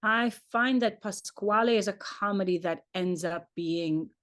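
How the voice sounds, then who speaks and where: A woman whose first language is Hebrew, an opera director talking through her ideas in a relaxed online lecture, thoughtful and chatty with many "like"s and "um"s. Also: mid-range voice, a little slow. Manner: thoughtful, chatty, uses "like" and "um" often.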